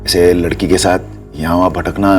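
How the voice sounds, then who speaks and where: An actor playing a wary middle-aged uncle in a TV crime drama, familiar and protective in a grudging way. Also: male voice, deep voice, flat delivery, normal speed, faint music behind, close to an everyday voice. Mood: resigned.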